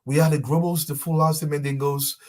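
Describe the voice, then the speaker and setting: A man's voice: fairly high pitch, flat delivery, a little fast, darkish tone. A man from Liberia with a Liberian accent, a talk show guest making an impassioned speech and reading a poem. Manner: emphatic, repeats key phrases for force.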